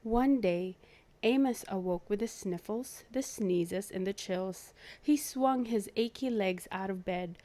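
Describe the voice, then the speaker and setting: A woman reads a picture book aloud to young children. Her voice is soft-edged and mellow, and she takes her time over each phrase. She narrates expressively, as the host of a storytime. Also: mid-range voice, slow. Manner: clear storytelling with animated asides to young listeners.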